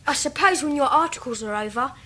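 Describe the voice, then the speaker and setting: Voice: high-pitched, fast, pushed and bright. A young performer playing a polite, earnest schoolboy in a radio drama, speaking courteously and candidly.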